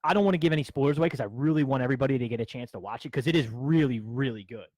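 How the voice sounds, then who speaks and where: Podcast co-host, male, rapid chatty delivery, dry close mic. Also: mid-range voice, a little mellow, no room sound. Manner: fast, chatty, talks over others. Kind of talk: casual chat. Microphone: studio mic.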